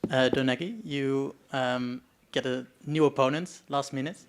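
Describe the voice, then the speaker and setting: A man poses questions to fighters at a press conference. His voice sits in a middle register, and he pauses hesitantly while forming each question. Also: medium pitch, fairly even intonation, a little hiss or hum.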